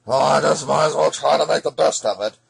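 A man's voice: on the high side, fairly quick, creaky. A man playing a puzzled, grumbling time traveller who mutters to himself in a children's Western tale. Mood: puzzled, resigned. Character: bewildered time-travelling hero stranded in Old West.

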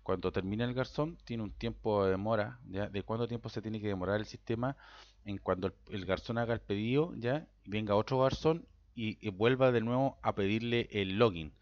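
A Chilean man with a Chilean Spanish accent, his voice a bit low, talks through a software install on his computer. He rambles, repeats words and keeps checking in with listeners. The sound is dull and lo-fi, caught by a simple computer mic. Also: a little fast, no room sound. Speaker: software tutor. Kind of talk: casual screen-recorded tutorial.

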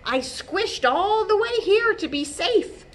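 A woman voicing a gentle, forlorn snail in a children's story, answering slowly and deliberately. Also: extremely high pitch, lively intonation, a little hiss or hum, pitched above the performer's own voice, full character voice. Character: slow, gentle snail, newly homeless and forlorn.

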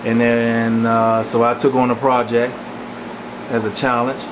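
Male, casual rambling home-video narrator, mid-pitched, steady fan hum behind him. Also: measured pace. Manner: relaxed, rambling, with many fillers. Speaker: fish breeder giving a tour. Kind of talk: casual walk-through narration. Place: home aquarium room with running fans. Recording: camcorder audio with fan hum.

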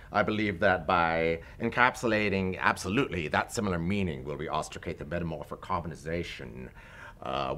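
A middle-aged man playing a pompous pseudo-intellectual in a comic mock interview, deliberate and self-important. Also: sits low, bright, forceful tone. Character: pompous pseudo-intellectual talking learned nonsense. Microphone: handheld interview microphone.

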